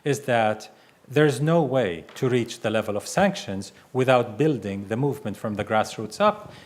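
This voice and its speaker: A man from Palestine, an invited speaker, answering audience questions at a bookstore talk in a measured way with fillers between phrases. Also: mid-range voice, expressive. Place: bookstore event room.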